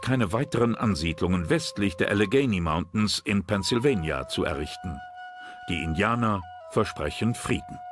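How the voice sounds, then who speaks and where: Low male voice narrating history doc, measured and serious, music behind. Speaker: documentary narrator. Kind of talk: scripted historical documentary narration.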